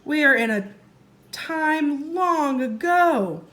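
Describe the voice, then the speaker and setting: Woman playing a young storybook boy, cautious, bookish, commanding, exasperated, lively swooping pitch. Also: slow, heavily acted. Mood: urgent, awed.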